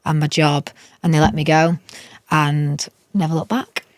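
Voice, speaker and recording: A woman's voice: low-pitched, flat delivery, unhurried, dry recording, clean studio sound. A young woman, a musician guest, chatting in an interview and telling stories with frequent restarts. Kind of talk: casual interview chat.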